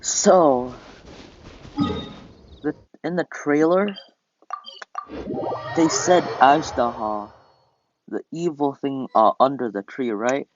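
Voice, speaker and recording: A man giving casual, halting, deadpan commentary while playing a video game. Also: medium pitch, a little hiss or hum. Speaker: gamer reacting while playing. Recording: muffled sound with game music.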